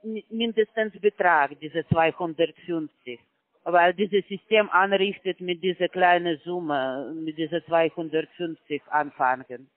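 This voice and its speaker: A man who is not a native German speaker, making a sales pitch on a phone call in halting, broken German full of fillers. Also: no echo. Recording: phone line, dry.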